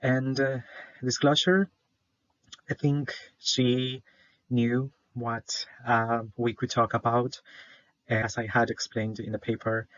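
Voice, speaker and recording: Shy young salvadoran guy presenting for class, flat voice, lots of uhs, dull dry recording. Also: medium pitch, takes it slowly, dry recording. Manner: hesitant, many fillers, shy. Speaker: student presenter.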